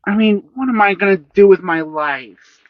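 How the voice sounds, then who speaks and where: A storybook reader voicing an angry young boy who groans, shouts and snarls, in a high strained tone. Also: animated, unhurried, highs cut off, natural voice. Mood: sulky.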